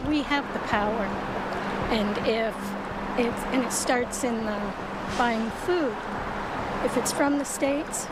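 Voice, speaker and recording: A fairly high-voiced woman from Canada speaks in an emphatic, indignant way in a street interview. Loud traffic and city noise roar behind her. The clip was recorded outdoors. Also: slow, long pauses.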